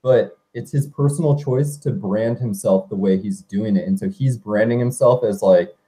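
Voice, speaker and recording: A man's voice: fairly low pitch, moderate pace, mellow, rough recording. A man in a casual group chat, talking in a loose, chatty way full of "like" and "you know".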